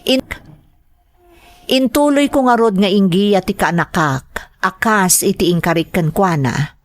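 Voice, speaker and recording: A woman's voice: medium pitch, measured pace, close to an everyday voice. A woman with an Ilocano accent playing a heartbroken, pleading mother in a melodramatic audio drama, plaintive and hesitant. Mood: sorrowful. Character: heartbroken, pleading mother torn between lover and child.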